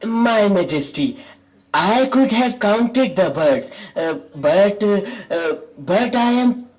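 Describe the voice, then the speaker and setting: A man playing a bumbling royal priest puppet in a children's show, halting and stammering. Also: high-pitched, lively intonation, low-quality recording. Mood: nervous. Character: flustered, bumbling male royal priest puppet, poor at sums.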